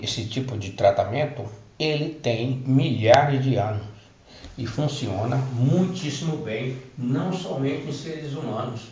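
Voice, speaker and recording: A man's voice: medium pitch, a little mellow. A middle-aged man giving a personal testimony about spiritual healing, hesitating and restarting his words as he explains. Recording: roomy, dull lo-fi recording.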